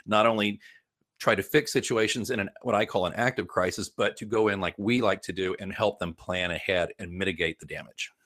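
Male consultant interviewed, low register, dry close sound, emphatic, self-correcting, conversational explainer. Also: a little fast, fairly bright tone, no room sound. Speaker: guest PR crisis consultant. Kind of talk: informal interview explanation with anecdotes.

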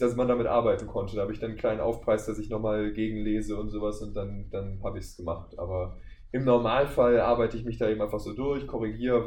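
A man's voice: on the low side, darkish tone. A man with a German accent chatting casually as a podcast guest, telling work anecdotes in an informal way. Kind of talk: casual interview chat with anecdotes. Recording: roomy echo, faint background noise.

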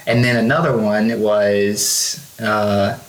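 A man from Russia, a podcast guest, telling his life story in a relaxed, rambling way with many fillers and restarts. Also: lowish voice, slow. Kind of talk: casual storytelling chat. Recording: roomy echo.

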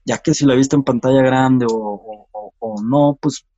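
A Mexican film director talking casually in an interview, halting with many restarts and fillers. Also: male voice, mid-range voice, mellow, no room sound, muffled recording.

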